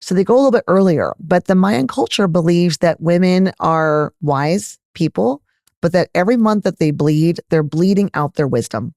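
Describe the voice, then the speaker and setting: A middle-aged woman with a Texas accent, a gynecologist answering interview questions in an animated, candid, emphatic way. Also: on the low side, moderate pace, no room sound, clean studio sound. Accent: Texan. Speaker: gynecologist guest.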